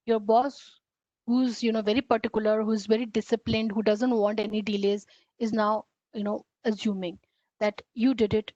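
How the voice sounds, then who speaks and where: A woman's voice: medium pitch, level tone, normal speed, dark tone, no room sound. A woman with an Indian English accent giving an online training lecture in an earnest, repetitive way with many fillers and restarts. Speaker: faculty development trainer. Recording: dull lo-fi, dry online call audio.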